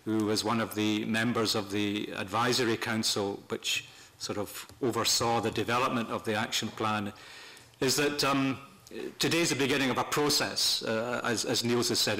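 An older man presenting semi-formally to a meeting, speaking off the cuff in a measured, halting way with pauses mid-phrase. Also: medium pitch, bright, forceful tone, low-quality recording. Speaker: panel speaker at a human rights meeting. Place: parliament committee room, indoors. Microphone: desk gooseneck microphone.

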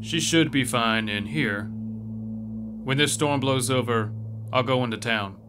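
A man's voice: medium pitch, unhurried, background noise, lightly acted. An actor playing a practical, cautious man taking charge in a horror audio drama. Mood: grave, practical.

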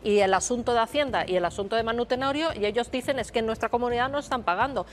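A woman's voice: on the high side, fast, faint music behind. A woman on a TV talk show panel who insists on her points and recounts what sources told her. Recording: TV broadcast audio with faint noise.

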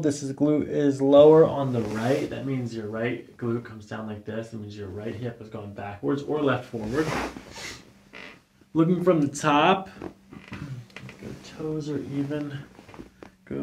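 A middle-aged man with a heavily creaky, gravelly voice gives calm, reassuring step-by-step instructions as a chiropractor at work. His manner is gentle. Faint noise sits behind him in the treatment room. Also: mid-range voice. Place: small office treatment room, indoors. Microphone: microphone on boom arm near the table.

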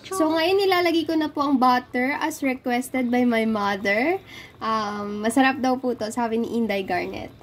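A woman's voice: a little high, moderate pace, a little hiss or hum. A young woman hosting a casual cooking vlog, chatty, polite and playful, often giggling as she talks to the camera indoors. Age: young adult. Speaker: cooking vlogger. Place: dark indoor room, seated at a table.

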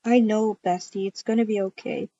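A woman's voice: medium pitch, fairly even intonation, moderate pace, warm tone, no room sound, lo-fi sound. A woman speaking casually as she improvises a game roleplay and chats to viewers. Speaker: video creator acting out a roleplay.

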